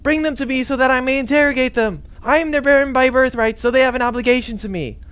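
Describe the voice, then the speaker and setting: A man's voice: extremely high pitch, fast talker, fairly bright tone, clear, resonant tone. A man playing a petty, self-absorbed tyrant baron in a comedic radio play, with an entitled, pompous, whiny manner. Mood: imperious.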